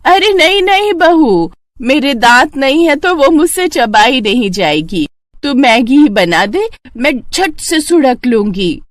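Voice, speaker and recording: A woman puts on an old, toothless grandmother from a cartoon family tale. She is folksy, hungry and insistent. Her voice rides high, in a close, dry recording. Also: big pitch swings, slow pace, no echo, heavily acted. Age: older.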